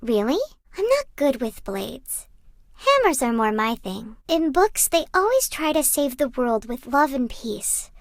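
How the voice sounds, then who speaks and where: A woman playing a gentle, bookish young librarian in a video game, wistful and earnest, like someone telling stories. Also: sits high, sing-song, a little slow, no echo, studio quality, heavily acted. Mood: earnest, teasing. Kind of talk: video game character voice lines.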